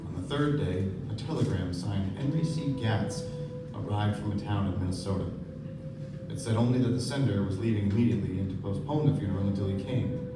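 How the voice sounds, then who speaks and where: Actor as serious earnest guy narrating a radio play, lowish voice, big echo, loud music. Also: brisk, natural voice. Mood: sombre. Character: earnest, sombre male narrator and loyal friend. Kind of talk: scripted literary narration in live radio play. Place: live stage performance. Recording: echoey distant stage.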